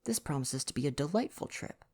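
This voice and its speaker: Man acting eager storybook gentleman, cheerful, buoyant, emphatic, swooping lively intonation. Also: medium pitch, fairly quick, lightly acted. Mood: cheerful, confident.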